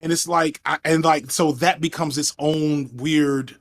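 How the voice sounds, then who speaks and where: A man in his thirties, a former teacher, talking over a headset on a video call in a reflective, fast, self-interrupting way. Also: fairly high pitch, fairly even intonation. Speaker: guest, video essayist. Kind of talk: casual video call chat. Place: room at home indoors.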